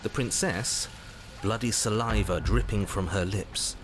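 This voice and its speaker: A man playing the grave narrator of a horror game, telling events in measured, dramatic, ominous prose. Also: lowish voice, a little hiss or hum, lightly acted. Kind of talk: dramatic scripted second-person narration.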